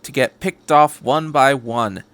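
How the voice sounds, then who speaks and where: A man's voice: mid-range voice, takes it slowly, fairly bright tone, clean tone, no room sound. A man voicing a sceptical, stubborn male passenger in a story reading, with a grudging manner. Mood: wary.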